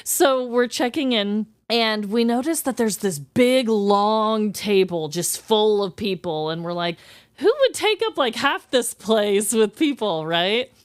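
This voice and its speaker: A woman's voice: medium pitch, lively intonation, a little slow, clean tone, dry recording, clean studio sound. An American woman from the Midwest telling personal stories casually as a podcast host, chatty and effusive. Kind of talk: casual chat, personal storytelling.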